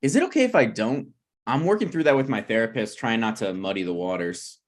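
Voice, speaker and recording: A man's voice: medium pitch, expressive, a little fast, close to an everyday voice. A man playing a down-on-his-luck, evasive thirty-something friend in a naturalistic comedy table read. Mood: glum, evasive. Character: unshaved, down-on-his-luck 37-year-old male friend, evasive.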